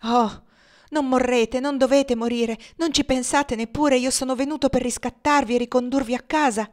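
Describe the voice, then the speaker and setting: A woman voicing a loyal young gentleman in an audiobook, grieving, vehement and pleading. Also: high voice, steady tone, moderate pace, pitched above the performer's own voice, lightly acted. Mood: desperate, vehement.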